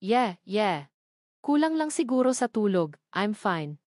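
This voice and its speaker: Woman reading as serious, gentle Filipino husband. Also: mid-range voice, sing-song, slow, no room sound, studio quality. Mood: forced reassurance. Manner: serious, soft-spoken, brief. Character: serious, gentle, reserved young husband, mixing English and Tagalog.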